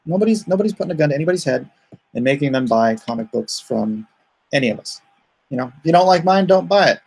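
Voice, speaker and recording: Male livestream host, husky voice, creaky edge, rambling casual chat, joking. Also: fairly high pitch, lively intonation, quick pace, darkish tone, poor audio. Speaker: comic artist hosting a livestream. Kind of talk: casual livestream chat, reading viewer comments aloud.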